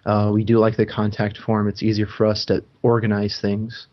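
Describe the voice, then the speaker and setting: A man's voice: on the low side, completely flat delivery, moderate pace. A man hosting a gaming podcast in casual chat, talking loosely with frequent ums and restarts. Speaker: podcast host. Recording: dull lo-fi sound.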